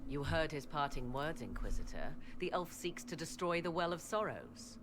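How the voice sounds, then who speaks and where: Scornful fantasy game sorceress, imperious, formal phrasing, animated intonation, clearly noisy sound. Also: female voice, deep voice, normal speed. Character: haughty, scornful sorceress adviser, a grown woman. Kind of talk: fantasy video game voice acting.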